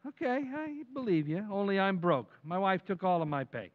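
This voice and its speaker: A man acting a brash, cynical buddy in old-time radio comedy. The voice is high and swings widely, cocksure and needling, on a dull lo-fi recording. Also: big pitch swings, moderate pace.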